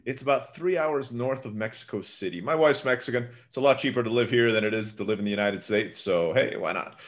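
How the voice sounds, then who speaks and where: A man in his thirties, a podcast guest, chatting casually over a remote call mic and laughing often. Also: medium pitch. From Turkey. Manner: fast, animated, laughs often. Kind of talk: casual interview chat.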